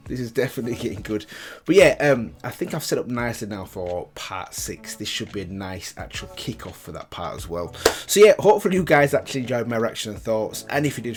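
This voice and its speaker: Lowish-voiced man hosting a reaction video. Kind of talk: casual reaction commentary.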